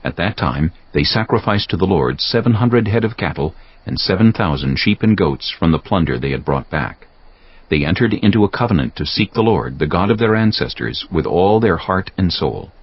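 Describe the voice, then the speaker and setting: A man narrating scripture as a scripted audiobook, in a solemn, measured storytelling manner. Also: deep voice, expressive, breathy edge. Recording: dull sound with music bed.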